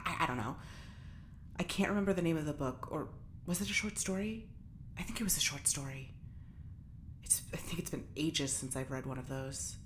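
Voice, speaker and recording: A woman's voice: deep voice, a bit bright, slight background noise. An actress playing an agitated, defiant woman prisoner in space, stammering and talking to herself as she fights panic in an audio drama monologue. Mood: weary, uneasy.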